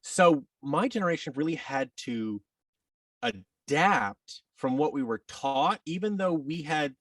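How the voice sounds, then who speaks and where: A man's voice: a little high, lively intonation, measured pace, a bit bright, no echo, recorded from a distance. A man in his thirties talking casually as an interview guest, rambling and opinionated. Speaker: podcast guest, audio drama producer and actor.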